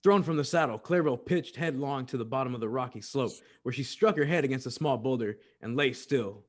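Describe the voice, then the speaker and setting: A man's voice: mid-range voice, a little fast, natural voice. A man narrating an old-time western radio drama in a dramatic, scripted storytelling style.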